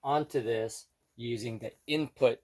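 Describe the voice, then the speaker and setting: A man hosting a casual how-to demonstration in a halting way, thinking aloud as he goes. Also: medium pitch, steady tone, darkish tone. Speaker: video tutorial host.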